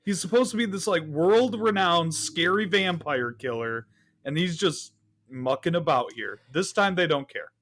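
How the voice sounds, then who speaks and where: A man's voice: fairly high pitch, a little slow, a bit bright, slight background noise. Man talking films casually. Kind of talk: casual film discussion.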